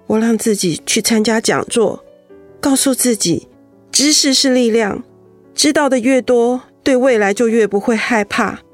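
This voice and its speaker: An actress in a Mandarin radio drama playing a vulnerable young wife, earnest, emotional and confiding. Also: female voice, on the high side, measured pace, soft background music, lightly acted. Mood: anxious, tearful. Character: vulnerable young wife holding back tears after illness.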